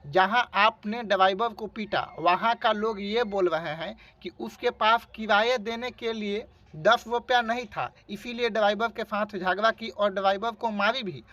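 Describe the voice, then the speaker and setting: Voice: mid-range voice, unhurried, pushed and bright, highs cut off. An interviewer of unclear sex with Bhojpuri-influenced Hindi, pressing a caller with repetitive, accusatory questions. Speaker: interviewer for an online news channel.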